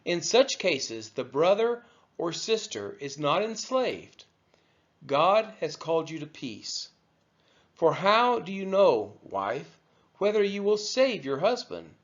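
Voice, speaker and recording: Expressive man reading scripture, dull audio. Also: fairly high pitch, takes it slowly. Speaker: scripture reader. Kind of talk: scripted read-aloud of scripture.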